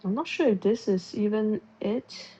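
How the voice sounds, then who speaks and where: A woman chats casually as she streams a game from home, low-key and unhurried in tone. Her voice sits at a middling pitch, picked up by earbuds that dull the sound. Game music plays clearly behind her. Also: mellow. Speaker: livestreamer. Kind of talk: casual stream chat while gaming. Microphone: wireless earbuds mic on a phone.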